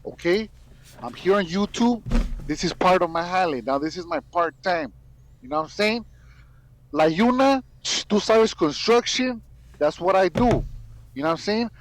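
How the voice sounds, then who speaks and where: A man's voice: high voice, lively intonation, moderate pace, a little hiss or hum, poor audio. A man making online videos, talking casually to viewers in slang with Spanish words mixed in. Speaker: YouTube content creator.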